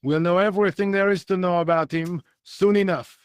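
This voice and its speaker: Man acting a high-voiced, dismissive French police sergeant. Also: steady tone, a little fast, a little hiss or hum, natural voice. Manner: formal, condescending, droll. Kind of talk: video game dialogue acting.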